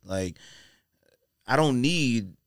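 A man's voice: mid-range voice, lively intonation, drawn-out words, no room sound. A man with a mid-range voice hosts a sports talk podcast. He debates casually and emphatically, leaning on slang to push his argument. The sound is close and dry, with very little room.

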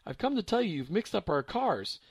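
A man's voice: high voice, very animated, brisk, slightly rough, no room sound, studio quality, voice pushed up, exaggerated voice. A man voicing a polite, patient father who keeps trying to explain a mix-up. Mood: insistent. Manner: earnest, polite, repeats himself. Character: patient middle-aged father, baffled but polite.

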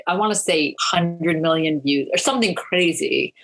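A woman's voice: sits low, moderate pace, fairly bright tone, soft background music. A woman in her sixties, a comedian guest chatting casually in an interview, joking and rambling with asides. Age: 60s.

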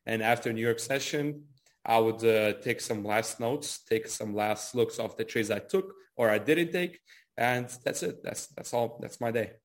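Man, early twenties, medium pitch, casual interviewee, repetitive phrasing, "kinda" and "you know". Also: unhurried. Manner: repeats words, frequent "kinda" and "you know". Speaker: trader and trading educator being interviewed.

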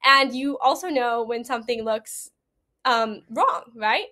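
A woman's voice: on the high side, measured pace, bright, forceful tone. A woman giving a personal account as an interview guest, earnest, conversational and emphatic. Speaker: podcast guest, event attendee.

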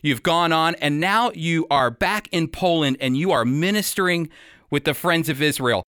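A man's voice: fairly high pitch, normal speed, a bit bright, clean tone, no echo, studio quality. An American man hosting and interviewing on a radio program, speaking as a presenter. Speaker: radio show host.

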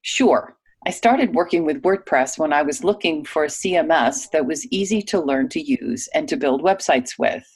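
Low-voiced woman reading interview answers. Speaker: voice actor reading a guest's typed answers. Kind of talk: read-aloud interview answers.

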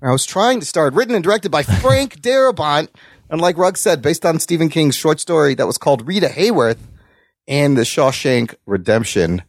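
Male podcast host, enthusiastic and foul-mouthed, slightly high voice, very dry sound. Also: expressive, moderate pace, no room sound. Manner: enthusiastic, frequently swearing. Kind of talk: casual film discussion.

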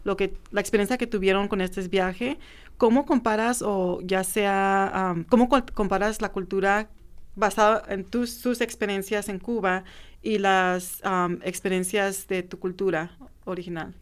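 A woman's voice: mid-range voice, fairly even intonation, a little slow, smooth. A woman moderating a group discussion. She asks questions hesitantly, with many filler sounds.

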